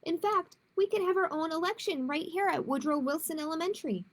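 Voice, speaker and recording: A woman's voice: very high voice, a little fast, a little hiss or hum, voice pushed up. A woman reading the part of an encouraging female elementary teacher in a children's storybook, formally addressing her class. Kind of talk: children's storybook read-aloud.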